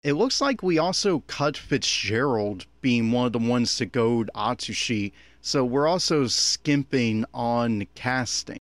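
A man's voice: mid-range voice, expressive, a little slow, hardly any pauses, no room sound. A man giving an unscripted running commentary in a critical, exasperated, opinionated manner. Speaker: commentator on an anime episode.

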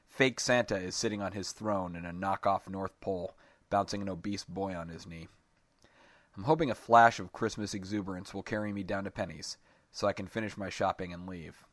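A man reads a first-person short story in a very deep voice. His delivery is slow and measured, and he is recorded close and dry, with no room sound. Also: a bit bright, dry recording. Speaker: story reader.

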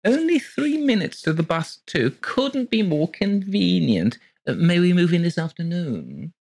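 A man's voice: sits high, big pitch swings, measured pace, vocal fry, darkish tone, no echo, pitched above the performer's own voice, full character voice. A man voicing a gushing, fluttery middle-aged woman who is eager to rent rooms. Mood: effusive. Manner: effusive, breathless, fluttery.

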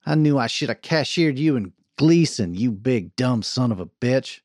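Western storybook wagon boss, male reader: brash, profane woman, pitched up, wildly animated, bossy drawl. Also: normal speed, no echo, studio quality. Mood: furious. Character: brash, foul-mouthed cowgirl wagon boss, hot-tempered but soft-hearted.

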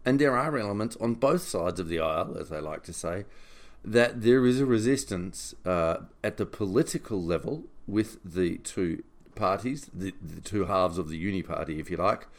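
A man with a fairly low voice delivers semi-scripted commentary in the role of a podcast host. His phrasing is deliberate and somewhat halting, with words repeated as he works through each point.

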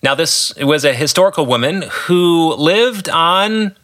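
A man's voice: fairly high pitch, animated, unhurried, a bit bright. A man with an American accent giving conversational commentary on his own story as a podcast host and author, with emphatic, storyteller-like phrasing. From West Michigan, United States.

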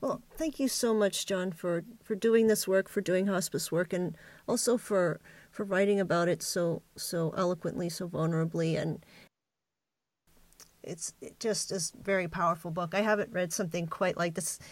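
A woman speaking as a podcast host, addressing a guest or listeners in a clear presenting style. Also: lowish voice, normal speed, a little mellow, no room sound. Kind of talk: podcast interviewing and scripted announcement.

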